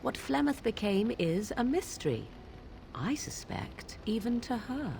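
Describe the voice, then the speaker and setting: An actress playing an aloof, sardonic young witch of the wilds in a fantasy video game, with formal archaic diction and a deliberate, theatrical delivery. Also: a little high, big pitch swings, vocal fry, darkish tone, slight background noise, heavily acted. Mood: arch, challenging.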